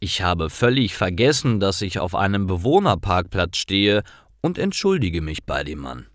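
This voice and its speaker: A man narrating scripted stories for language learners in deliberate, clearly separated short sentences. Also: low-pitched, big pitch swings, no room sound, studio quality.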